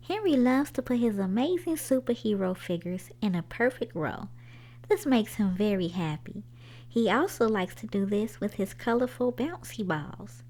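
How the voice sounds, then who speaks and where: A woman reading a children's picture book aloud in an expressive storytime style, putting questions to young listeners. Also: on the high side.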